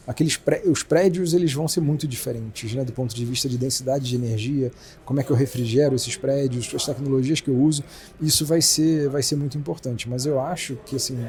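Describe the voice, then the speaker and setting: Brief: Brazilian male exec guest, rapid-fire delivery, warm mellow tone, faint hiss behind. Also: medium pitch. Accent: Brazilian Portuguese. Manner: fast, animated explaining with many restarts. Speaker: company president, guest. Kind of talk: casual business interview.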